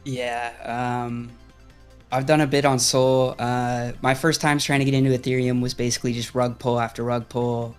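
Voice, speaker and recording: A man's voice: mid-range voice, little pitch movement, moderate pace, clean tone, faint music behind. A man co-hosting a stream, talking in a casual, matter-of-fact way with fillers. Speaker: streamer co-host. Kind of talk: casual live-stream interview.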